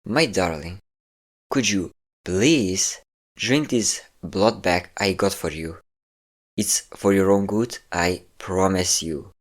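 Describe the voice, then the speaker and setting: A man acts out a cocky vampire who keeps a captive. His voice is low and he talks in a smug, coaxing way, full of endearments. It is an intimate, possessive monologue in the manner of a roleplay audio drama. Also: slow. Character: possessive, cocky male vampire captor, obsessive and condescending.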